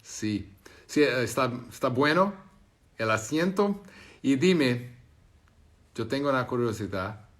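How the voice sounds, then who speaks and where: A man from Brazil speaking with a Brazilian Portuguese accent, chatting casually on a live stream as he reads viewer comments. He talks at an unhurried, slow pace and often stops to restart and search for the right word. His pitch sits in a middle range. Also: animated. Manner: halting, searching for words, many restarts.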